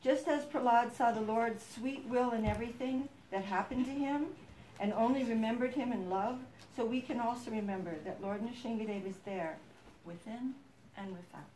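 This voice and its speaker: In an echoey room with faint noise, a woman with a fairly high voice narrates a devotional story. She speaks as a storyteller, with emphatic rises and falls. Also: normal speed, dark tone. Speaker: narrator of a devotional puppet show.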